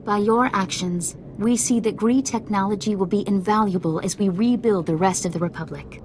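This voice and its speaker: Diplomatic female senator, mid-pitched voiced by a woman. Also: normal speed, darkish tone, slight background noise, lightly acted. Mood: grateful. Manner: diplomatic, formal, articulate. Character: polished, grateful female senator. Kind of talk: video game character acting.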